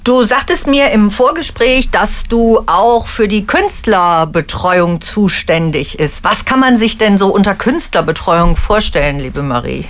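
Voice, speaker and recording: A woman's voice: medium pitch, animated, a little slow, few pauses. Friendly, curious woman interviewer. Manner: friendly, curious, asks follow-up questions. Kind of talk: interview questions.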